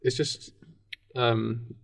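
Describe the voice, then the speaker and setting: A man co-hosting a podcast, chatting casually and pitching ideas in a hesitant, halting way. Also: flat delivery, creaky, a bit bright, dry recording. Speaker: podcast co-host. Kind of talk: casual brainstorming chat.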